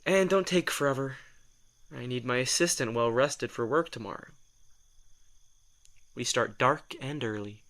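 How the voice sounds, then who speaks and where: An actor playing a reluctant young male vampire in an audio drama, terse and quick to snap. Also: medium pitch, a bit bright.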